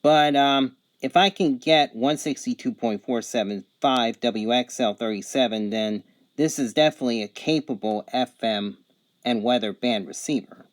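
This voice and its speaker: A man's voice: mid-range voice, moderate pace. Matter-of-fact man giving a casual review. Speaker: product reviewer. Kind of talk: casual radio review demonstration.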